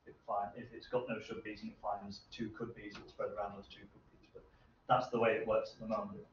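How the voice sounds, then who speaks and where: A man in his thirties answering questions at an informal technical seminar, haltingly thinking aloud. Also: on the low side, level tone. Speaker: researcher presenting at a seminar. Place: medium seminar room with hard walls. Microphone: distant camera microphone. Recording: roomy, distant, muffled, noisy room recording.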